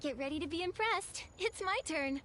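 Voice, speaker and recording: A woman playing a calm, confident and sensible young heroine in a video game. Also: very high voice, normal speed, slight background noise.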